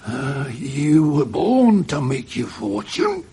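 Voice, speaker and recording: Very raspy old rascal. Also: male voice, on the high side, big pitch swings, takes it slowly, warm tone, exaggerated voice. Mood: incredulous, sly. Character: old grey-haired rascally rag dealer, seedy and wheedling.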